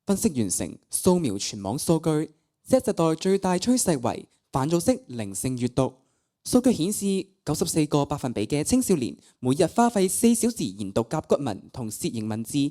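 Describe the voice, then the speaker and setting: A woman's voice: deep voice, very animated, normal speed, warm tone. A teenage girl playing a cold, absurdly confident AI assistant in a radio play, announcing in formal, clinical phrases. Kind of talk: student radio play acting.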